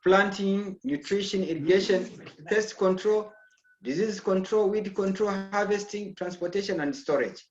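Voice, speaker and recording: A man's voice: high-pitched, normal speed, slight background noise. A man from Zambia lecturing an online agriculture class, often checking in with "okay?". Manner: repeats "okay?" to check understanding. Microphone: video call. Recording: echoey, dull video call audio.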